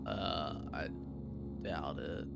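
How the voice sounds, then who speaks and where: A man's voice: rumbling low, drawn-out words, gravelly. A man talking casually as a player in a tabletop game, describing what his character does. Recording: music behind the voice.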